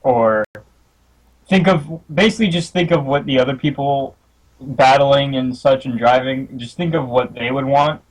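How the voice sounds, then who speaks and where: A man's voice: mid-range voice, moderate pace, poor audio. A young man co-hosting a casual livestream, laid-back and leaning on fillers while losing his voice. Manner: laid-back, leans on "you know". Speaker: co-host, league staff.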